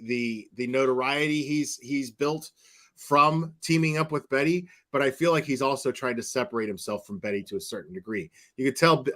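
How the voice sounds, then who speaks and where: A man's voice: mid-range voice, fairly bright tone. A man giving casual online opinion commentary in a rambling, blunt and crude way, swearing freely. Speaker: YouTube commentator.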